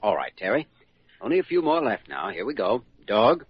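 An actor playing an earnest, patient, thoughtful younger hospital doctor in a 1940s-style radio drama. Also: male voice, on the low side, wildly swooping pitch, moderate pace, breathy edge, no room sound, soft background music, heavily acted. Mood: patient, friendly. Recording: dull, lo-fi vintage radio recording.

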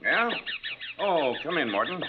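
An actor playing a wary, gruff, businesslike boss in a 1940s radio drama, blunt and guarded. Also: male voice, fairly high pitch, sing-song, unhurried, noisy background. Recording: dull 1940s radio recording.

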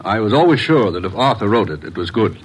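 A formal, money-minded publisher from a classic radio mystery. His voice is fairly low and rough-edged, his manner courteous. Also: big pitch swings, fast, rough recording. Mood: dismayed. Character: polite, money-minded male book publisher. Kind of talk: classic American radio drama acting.

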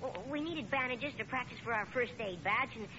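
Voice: mid-range voice, fairly quick, natural voice. A performer playing a sheepish, hesitant young American boy, the son in a 1940s radio sitcom. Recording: very noisy, muffled old radio recording.

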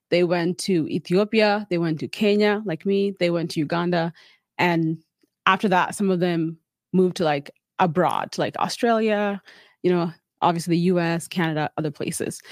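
A woman's voice: fairly low pitch, no room sound. Young woman telling her story, dry mic. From South Sudan. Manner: relaxed, storytelling, frequent "like" fillers. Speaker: guest. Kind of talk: casual interview chat.

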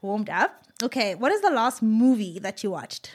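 A woman hosting a podcast, speaking in a bubbly, quick way and laughing often. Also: fairly high pitch, sing-song. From South Africa. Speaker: podcast host. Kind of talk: podcast interview.

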